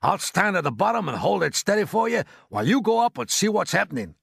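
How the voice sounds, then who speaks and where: A man voicing a bossy, alarmed chief of police in a storybook, with loud, drawn-out exclamations. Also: sits high, big pitch swings, gravelly, slightly rough, no room sound, clean studio sound, voice pushed up, full character voice. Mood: grim.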